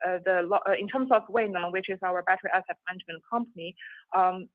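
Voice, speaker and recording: A woman's voice: lowish voice, steady tone, fast, no room sound. A woman speaking on a formal business conference call, careful and formal with slight hesitations. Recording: tinny phone line.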